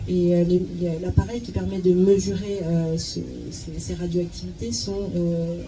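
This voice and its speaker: A woman's voice: lowish voice, flat delivery, normal speed, dark tone, poor audio. A woman interpreting informally phrase by phrase, hesitant, searching for words and repeating herself. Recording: clear background noise with chirping insects.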